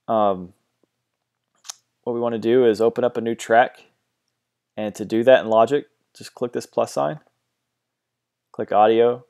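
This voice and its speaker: A man giving a casual software tutorial, hesitant and full of ums and restarts, recorded on a mono home mic. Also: medium pitch, steady tone, a little slow, pauses often. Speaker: tutorial host.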